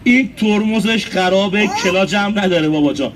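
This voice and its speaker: A man's voice: high-pitched, noisy background. A man from Isfahan, Iran, presenting a comic street puppet show, playfully coaxing the puppets and addressing the audience directly. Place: outdoor street. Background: loud music.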